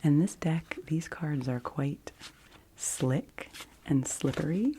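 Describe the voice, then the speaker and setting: A woman hosting ASMR in a soft, breathy voice that often drops to a whisper, speaking in a gentle, hushed, unhurried way. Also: sits low, a little hiss or hum. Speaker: ASMR video host.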